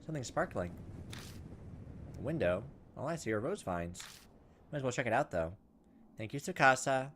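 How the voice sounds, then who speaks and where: A man hosts a video game playthrough in a casual way, moving between reading on-screen story text and thinking aloud. His voice sits at a medium pitch, with some steady noise behind it. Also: normal speed, pauses often. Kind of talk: gameplay commentary, reading visual novel dialogue aloud.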